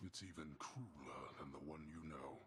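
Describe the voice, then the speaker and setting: An actor playing a grim, imposing old god of the dead in a video game, brooding and grave. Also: male voice, very deep voice, normal speed, very noisy. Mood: bitter, weary. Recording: loud music over the voice.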